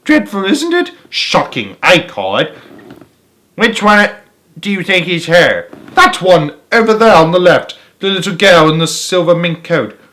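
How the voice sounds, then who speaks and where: A man's voice: high voice, sing-song, a little slow, sharp tone, pitched above the performer's own voice, exaggerated voice. A man voicing scandalised, nosy onlookers gossiping in a crowd scene from a storybook, in an exclamatory way. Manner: exclamatory, gossipy back-and-forth. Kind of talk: storybook read-aloud character voice.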